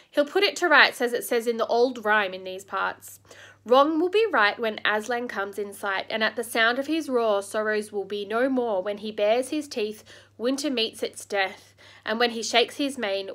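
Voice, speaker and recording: A woman voicing a gloomy, kindly male beaver in a storybook reading, speaking slow and grave. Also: fairly high pitch, a bit bright, natural voice.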